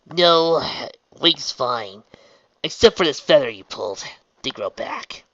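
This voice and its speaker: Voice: mid-range voice, lively intonation, takes it slowly, fairly bright tone, dry recording, dull audio, voice pushed up. A narrator voicing a guilt-ridden young female pegasus in a storybook, who blurts and stammers. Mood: reassuring.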